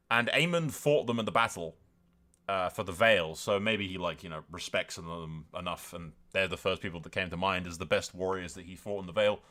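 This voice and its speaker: A man's voice: fairly low pitch, a bit bright. A man giving casual, sweary and blunt gameplay commentary as the host of a gaming video. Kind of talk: casual gameplay commentary with role-play asides.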